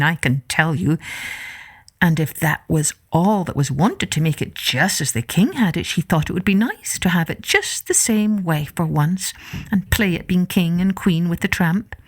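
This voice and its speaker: Animated female storyteller, slightly low voice. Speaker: storyteller, podcast host. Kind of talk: expressive scripted storytelling for young children. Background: music.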